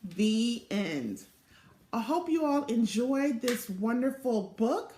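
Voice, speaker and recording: Expressive high-pitched woman reading a storybook. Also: takes it slowly, a little hiss or hum. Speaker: volunteer storybook reader. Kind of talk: read-aloud children's storybook narration. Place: small indoor room or home office. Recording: roomy, echoing home webcam recording.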